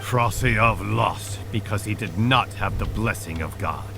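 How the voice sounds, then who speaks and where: A man's voice: fairly low pitch, unhurried. An actor playing a wrathful, fanatical king in a video game's stage play, speaking in a solemn, imperious, declamatory way. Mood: stern, zealous. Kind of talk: theatrical stage-play acting in a video game. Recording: music behind the voice.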